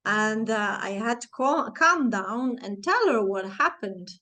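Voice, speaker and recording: A woman in her forties, a native Flemish speaker with a Flemish accent, telling a personal story to camera with halting, searching phrasing and playful sound effects. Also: a little high, expressive, bright, forceful tone. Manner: halting, searching for words, many restarts and fillers. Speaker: channel host, life coach.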